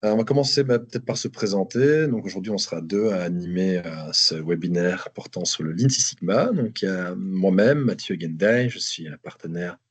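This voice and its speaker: A man's voice: low-pitched, moderate pace, hardly any pauses, husky. A man with a Belgian French accent presenting a webinar over a video call, hesitant with many restarts. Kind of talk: live online presentation.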